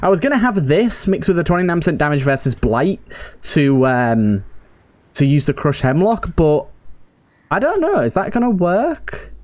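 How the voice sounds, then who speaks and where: Higher-pitched man doing game commentary. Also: sing-song, normal speed, darkish tone. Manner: thinks aloud, repeats himself. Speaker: gaming video host. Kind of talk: casual let's-play commentary.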